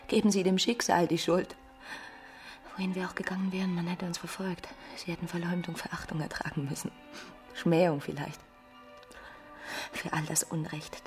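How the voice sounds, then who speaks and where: A woman playing a desperate, jilted married romantic in a radio drama, intense and halting, often dropping to a whisper. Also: fairly low pitch, little pitch movement, faint music behind, close to an everyday voice. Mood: bitter anguish. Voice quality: creaky, breathy, often whispering.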